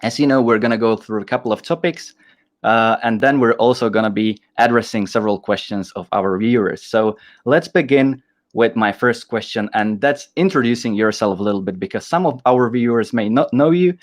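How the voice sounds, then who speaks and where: A man's voice: mid-range voice, fairly quick. A man hosting a casual livestream, enthusiastic and restarting his sentences often. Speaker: host.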